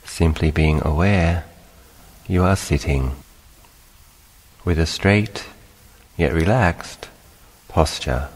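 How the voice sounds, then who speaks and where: A man guides a meditation in a very deep, bass voice. His delivery is slow, calm and instructional, leaving long pauses between short phrases. The sound carries a long reverb, as in a large hall. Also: husky. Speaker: meditation teacher, monk.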